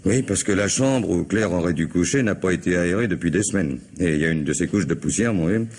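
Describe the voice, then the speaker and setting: Commissioner in radio mystery: low voice, courteous, insistent, authoritative; slight noise on an old recording. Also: male voice, expressive, fairly quick, a little mellow. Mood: brisk, confiding. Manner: polite, probing, authoritative. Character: male police commissioner, courteous but insistent interrogator. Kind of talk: French radio crime drama acting.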